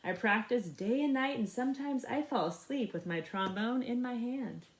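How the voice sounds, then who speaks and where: A woman's voice: a little high, a little hiss or hum. Echoey-room woman reading a children's story. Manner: animated, pauses to ask young listeners questions. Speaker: storybook reader. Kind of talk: expressive children's read-aloud.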